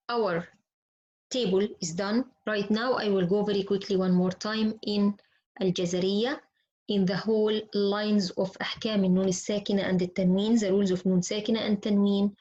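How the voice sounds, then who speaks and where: A woman's voice: medium pitch, a little mellow. Arabic-accented woman teaching, dull lo-fi sound. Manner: instructive, repetitive, poses questions then answers. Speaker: Quran recitation teacher. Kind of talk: explanatory lesson with recited examples. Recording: dull, lo-fi class audio.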